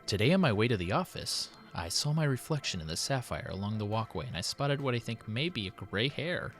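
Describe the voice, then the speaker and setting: A man voicing a worried, dutiful city president who dictates his diary in a formal, measured, solemn way. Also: fairly low pitch, animated, fairly bright tone, faint music behind. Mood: troubled, resolute. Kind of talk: dramatic in-character diary reading.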